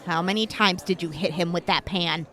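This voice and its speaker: A woman voicing a snarky young clinic helper with a deadpan, sarcastic way of teasing, in an audio drama. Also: lowish voice, normal speed, close to an everyday voice.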